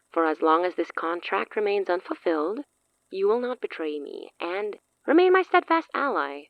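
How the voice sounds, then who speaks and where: An actress playing a cunning, self-assured sorceress in an audio drama, speaking in a theatrical, silky, condescending way. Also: female voice, fairly low pitch, no room sound, muffled recording, natural voice. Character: cunning, self-assured woman sorceress offering a dangerous pact.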